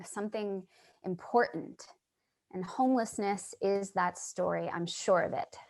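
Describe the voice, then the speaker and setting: A woman's voice: mid-range voice, natural voice. An actress playing a troubled, earnest loner woman, hesitant and dramatic, in a staged play reading.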